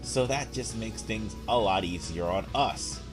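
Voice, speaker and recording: A young man with a hoarse voice from a cold, giving rambling, laid-back commentary while he plays a game. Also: fairly low pitch, measured pace. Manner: rambling, laid-back, frequent fillers and throat clearing. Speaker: gameplay commentator. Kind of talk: casual let's play commentary. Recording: game music playing behind.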